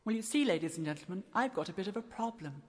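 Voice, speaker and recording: A woman with a very low, deep voice, delivering wry, self-mocking cabaret patter on a studio album track. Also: animated, fast, husky, soft background music. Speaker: cabaret singer. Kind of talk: comic cabaret patter over music. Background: loud musical accompaniment.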